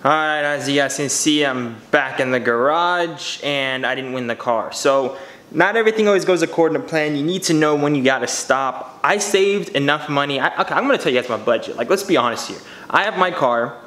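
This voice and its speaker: A man's voice: a little high, animated, brisk, a bit bright. A man in his twenties talking straight to camera in his home garage, earnest and slangy, addressing his viewers as "you guys". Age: 20s. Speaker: car channel vlogger. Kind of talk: casual vlog talk to camera. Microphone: camera mic. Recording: echoey garage room.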